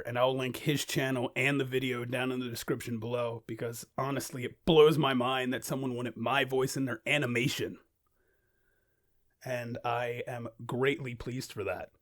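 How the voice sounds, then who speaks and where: A young man narrating a reflective, informal video in a self-deprecating manner, as an amateur voice actor. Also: mid-range voice.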